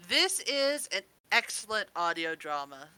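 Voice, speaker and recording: A woman chatting casually as a podcast reviewer, gushing and excitable, swearing freely. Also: mid-range voice, smooth, no echo, rough recording.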